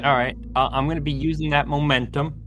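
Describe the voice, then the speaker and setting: A man's voice: a little high, fairly even intonation, fast, a bit bright. A man playing a tabletop game, chatting casually in a wry, slightly hesitant way. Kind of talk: casual banter.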